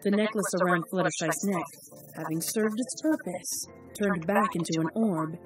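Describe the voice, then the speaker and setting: A woman reading a fan story aloud as narrator, in a steady, measured storytelling manner. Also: on the low side. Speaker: fanfic reader. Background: background music.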